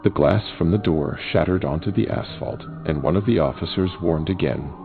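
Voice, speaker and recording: A man playing an eyewitness, narrating a tense first-person horror story in a gravelly voice. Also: sits low, moderate pace. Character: male neighbourhood eyewitness recounting a tense night. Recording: thin phone-like sound, music, sirens. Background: music and sirens.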